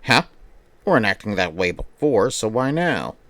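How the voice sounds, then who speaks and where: A woman's voice: very deep voice, sing-song, normal speed, a bit bright. A woman livestreaming a visual novel, reading it aloud and adding amused, exasperated asides. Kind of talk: livestream playthrough, reading and reacting casually. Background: game music.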